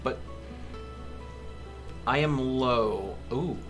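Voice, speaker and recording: A man's voice: medium pitch, very slow, a bit bright, soft background music. A man doing live gameplay commentary as a streamer, chatting to his viewers and muttering to himself as he plays. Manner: talks to chat and mutters to himself. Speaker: game streamer.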